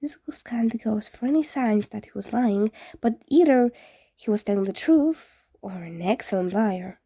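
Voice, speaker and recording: A woman's voice: fairly high pitch, expressive, moderate pace, dark tone, breathy edge, dry recording, studio quality. A woman reading fan fiction aloud as a scripted story narration.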